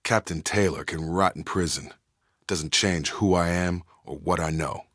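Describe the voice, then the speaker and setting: A man playing a young, steady, principled soldier in a sci-fi video game, speaking in direct, clipped military phrases. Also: low-pitched, measured pace, no echo, clean studio sound. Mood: bitter, resolute.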